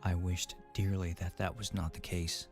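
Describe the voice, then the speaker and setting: A man narrating a dramatic first-person story in a brooding, measured manner. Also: deep voice, little pitch movement, natural voice. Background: faint music.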